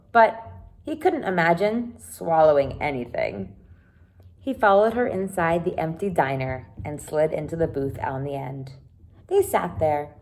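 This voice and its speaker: A woman's voice: low-pitched, darkish tone. A woman teacher reading a children's book aloud and explaining it simply, with dramatic emphasis. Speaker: elementary teacher.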